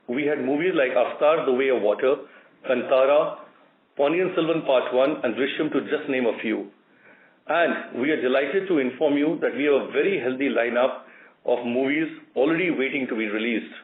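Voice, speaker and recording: A man with an Indian accent and a fairly high voice presents company figures as an executive. His delivery is formal and measured. The sound is a narrow phone line with a roomy echo. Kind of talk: formal scripted earnings briefing.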